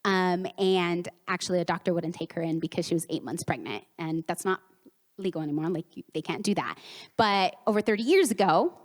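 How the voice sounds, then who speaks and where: Woman in her 30s, native Spanish speaker, relaxed presenter who acts out quotes; reverberant hall. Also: lowish voice, fairly quick. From United States, Mexican home. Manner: warm conversational with acted-out quotes and laughs. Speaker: therapist giving a conference talk. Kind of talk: conference presentation. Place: conference hall.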